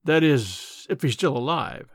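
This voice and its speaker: A man's voice: sits high, lively intonation, moderate pace, no echo. A man voicing a tall, gloomy police detective in an audiobook, speaking in a glum, matter-of-fact way. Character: tall, gloomy male Scotland Yard detective.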